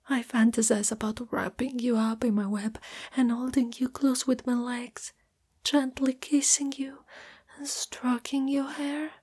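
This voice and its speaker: A woman voicing a shy, caring spider monster girlfriend in a gentle, intimate, mostly whispered ASMR roleplay. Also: a little high, slightly rough, full character voice. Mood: yearning. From Italy.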